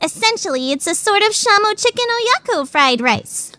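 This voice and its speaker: Teenage girl food judge, anime radio play: very high, theatrical, grandiose, wildly animated. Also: no echo, heavily acted. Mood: gushing, self-satisfied. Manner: grandiose, gushing, dramatic pauses. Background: background music.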